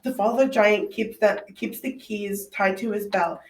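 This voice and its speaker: A woman's voice: mid-range voice, fairly even intonation, unhurried, natural voice. A young teenage girl playing a frightened captive maiden in a school radio drama, earnest and anxious. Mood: startled, resigned. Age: young teen. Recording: echoey room, distant mic, faint noise.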